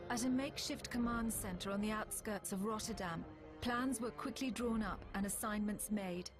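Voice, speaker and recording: A woman playing a composed military officer who narrates a war story for a video game, measured and formal. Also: medium pitch, natural voice. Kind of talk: scripted narration.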